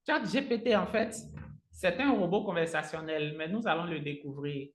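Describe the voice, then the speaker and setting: A man's voice: fairly high pitch, moderate pace. Echoey male tutor, native Agni speaker. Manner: instructional, step by step, repeats phrases. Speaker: online trainer. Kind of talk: live software tutorial with screen share. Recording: roomy, echoing sound.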